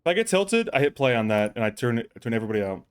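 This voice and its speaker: A man's voice: medium pitch, big pitch swings, very fast, clear, resonant tone. A man streaming a game and chatting casually with teammates, rambling and swearing freely. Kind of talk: casual gaming chat.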